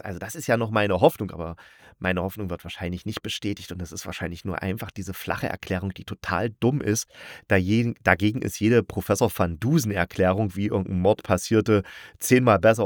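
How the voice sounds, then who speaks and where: A man's voice: low-pitched, no room sound, clean studio sound. A man giving a casual, opinionated review monologue as a podcast host, rambling and correcting himself in blunt colloquial language. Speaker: podcast reviewer of audio dramas.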